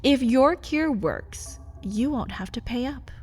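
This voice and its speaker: Slow-talking, scheming vampire wife played by a woman. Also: lively intonation, breathy edge, soft background music, heavily acted. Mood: sly, taunting. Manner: taunting, sardonic, swings from low menace to pleading. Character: feral, scheming vampire wife, mocking and hungry. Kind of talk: ASMR roleplay acting.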